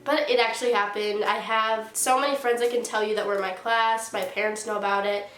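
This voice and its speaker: A woman's voice: mid-range voice, fairly even intonation, fairly quick, fairly bright tone, soft background music. A young woman in her late teens with a stuffy, congested nose, casually telling a storytime vlog and saying "like" often. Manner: chatty, heavy use of "like". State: stuffy nose from a sinus infection. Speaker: YouTuber. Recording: roomy echo.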